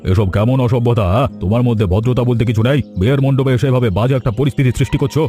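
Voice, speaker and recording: Stern, mellow-voiced wealthy merchant father played by a man. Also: medium pitch, fairly even intonation, racing, faint music behind, close to an everyday voice. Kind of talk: Bengali cartoon story acting.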